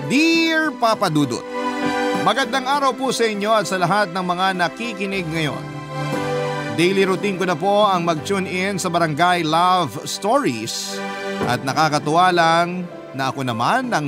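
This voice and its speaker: A Filipino man reading aloud as a young woman confiding her love story in a measured radio-drama manner. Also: on the high side, loud music behind the voice, close to an everyday voice. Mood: polite, introducing.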